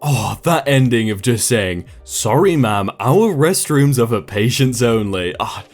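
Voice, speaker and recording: A man's voice: medium pitch, lively intonation, measured pace, faint music behind. A man reading online stories aloud in a scripted narration style, adding short comments between them. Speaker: narrator reading reddit posts.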